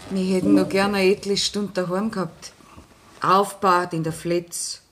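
A woman's voice: medium pitch, flat delivery, unhurried, fairly bright tone, a little hiss or hum, lightly acted, poor audio. A woman playing a composed Bavarian widow. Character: grieving farmer's widow, composed. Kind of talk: 1960s radio play acting.

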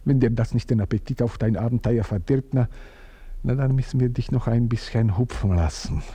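An actor playing a scheming, fawning manservant in a radio play. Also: male voice, medium pitch, brisk, mellow, husky, natural voice. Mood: sly. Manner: sly, insinuating, fawning. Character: scheming, cunning male manservant, middle-aged. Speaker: servant.

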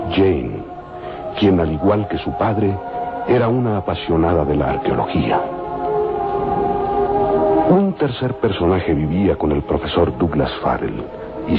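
A man narrating a scripted radio serial in a solemn, literary way with measured phrasing. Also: low-pitched. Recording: dull lo-fi old radio recording. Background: music.

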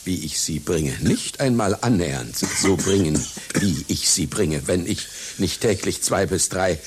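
An actor playing a vain, neurotic musical clown in a satirical radio play, declaiming pompously and imperiously. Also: male voice, low voice, animated, a little slow, slight background noise. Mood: lofty, lecturing. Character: vain, neurotic male musical clown, dependent on his wife. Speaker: concert clown.

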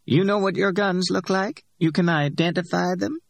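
A man's voice: sits high, steady tone, moderate pace, gravelly, dry recording, pitched above the performer's own voice. A man voicing a tough, streetwise older woman who talks in a drawling, folksy way. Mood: warning.

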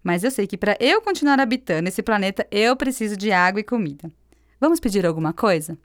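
A woman's voice: medium pitch, lively intonation, a little fast, no echo, clean studio sound, natural voice. A woman with a Brazilian accent playing a patient, friendly astronomer who explains things in a scripted radio drama. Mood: explanatory. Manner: explanatory, didactic. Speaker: actor in an educational radio drama.

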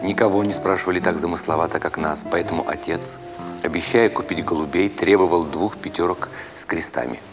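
A man with a fairly low voice narrates a literary story in the first person. His phrasing is expressive and deliberate, in a dramatic storytelling style. Music plays clearly behind him.

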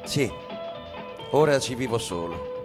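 An actor playing a brooding, commanding, seductive husband in radio theatre, speaking deliberately and insinuatingly. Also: male voice, medium pitch, animated, music in the background.

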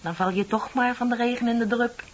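An actress playing a bitter, composed office clerk in her thirties in a radio play, speaking in a deliberate, pointed, theatrical way. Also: a little high, steady tone, lightly acted. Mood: smugly composed. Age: middle-aged. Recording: dull, hissy old radio play recording.

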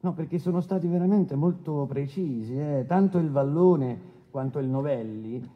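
Actor playing a brusque, paternalistic courtroom judge. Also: male voice, fairly high pitch, normal speed, dull audio, close to an everyday voice. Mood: probing. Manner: interrupting, hesitant filler-laden questioning, impatient. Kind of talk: courtroom docudrama radio acting.

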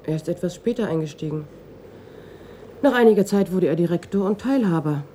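An actress playing a reserved, bereaved daughter of almost thirty in a German radio crime drama, speaking in short, matter-of-fact sentences. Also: female voice, fairly low pitch, expressive, fairly quick, warm tone, a little hiss or hum, lightly acted. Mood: matter-of-fact, reflective. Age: young adult.

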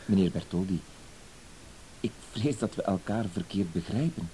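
Low-voiced, fussy blackmailer. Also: male voice, lively intonation, moderate pace, mellow, rough recording. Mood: quietly menacing. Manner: hesitant, circling, full of verbal tics and apologies. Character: fussy, hesitant, apologetic male blackmailer. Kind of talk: radio play acting. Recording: hissy old radio play recording.

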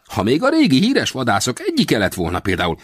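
A man's voice: medium pitch, huge pitch swings, slightly rough, dry recording, studio quality, pitched above the performer's own voice. A man voicing a cranky, proud little old Wild West hunter in a storybook, with blustering, exclamatory, folksy talk. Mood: bitter, scornful.

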